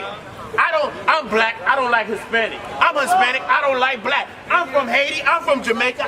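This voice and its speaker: Street preacher guy yelling out on the block, real high voice, crowd all around him. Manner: loud, emphatic, often shouting, barking commands to a reader. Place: outdoors on a city street. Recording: distant mic, noisy street crowd.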